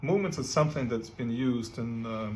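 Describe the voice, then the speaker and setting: Man, guitar teacher, halting casual delivery, medium pitch. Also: darkish tone, slight background noise. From Ukraine. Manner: halting, many fillers and "right?" checks. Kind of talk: casual music lesson with playing. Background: guitar playing.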